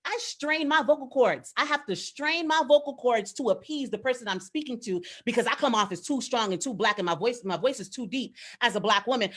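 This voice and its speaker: A woman's voice: on the high side, a bit bright. Earnest woman panelist speaking emphatically. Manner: earnest, emphatic, reflective with occasional laughs. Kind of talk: panel discussion, impassioned commentary.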